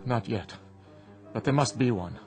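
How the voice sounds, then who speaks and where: An actor playing a calm, dignified father in a radio drama, measured and restrained. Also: medium pitch. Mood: grave. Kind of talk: vintage radio drama acting. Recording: old radio drama with faint music.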